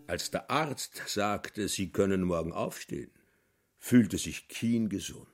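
Tiefer, bedächtiger Erzähler. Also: male voice, deep voice, slow pace, over loud music. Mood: deliberate. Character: deliberate, deep-voiced male storyteller. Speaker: narrator. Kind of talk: scripted literary radio drama narration.

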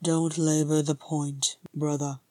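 Woman doing a broody gruff dwarf king in a storybook, solemn n terse, real slow, dark voice. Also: sits low, little pitch movement, no room sound, studio quality. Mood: softened. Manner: grim, terse, solemn, growling.

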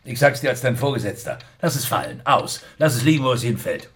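An actor playing a gruff, middle-aged police superior, blunt and agitated, in a German radio crime drama. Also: male voice, mid-range voice, expressive, a little fast, fairly bright tone, lightly acted. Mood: firm, warning. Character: gruff, rattled male police superior who wants a drink.

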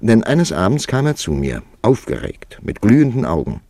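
An actor playing a worldly, wry male writer who narrates his tale in a radio play with measured, dramatic storytelling. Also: fairly low pitch, very animated, mellow. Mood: wry narration. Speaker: narrator and lead character.

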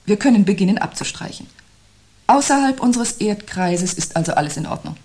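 An actress in a 1970s German radio play, playing a sceptical wife who answers with dry, probing irony. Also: female voice, mid-range voice, expressive, fairly quick, mellow, a little hiss or hum, close to an everyday voice. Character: sceptical, sardonic wife impatient with her partner's pathos.

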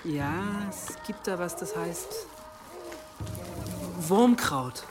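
An earnest young monk who explains things haltingly but knowledgeably. It is acted radio drama, with music in the background. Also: male voice, sits high, wildly swooping pitch, full character voice. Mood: thoughtful, earnest. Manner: hesitant, explanatory.

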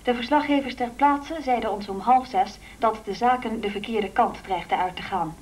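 High-voiced formal radio newsreader. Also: female voice, normal speed. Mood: grave, formal. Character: formal radio newsreader reporting a looming conflict. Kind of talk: scripted news bulletin in radio play.

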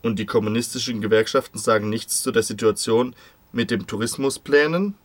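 A man's voice: medium pitch, brisk. A man reading an interviewer's questions as voice-over for a radio feature.

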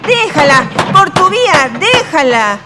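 A flirtatious, bossy Chilean wife in a radio play. Her voice is high, with music clearly heard behind it. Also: very animated, unhurried, full character voice. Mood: panicked, bossy. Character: flirtatious, bossy married woman with a jealous husband.

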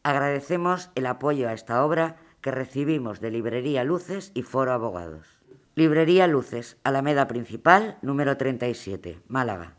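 A man reading a formal sponsor acknowledgment in an even voice. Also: medium pitch, normal speed. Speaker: announcer.

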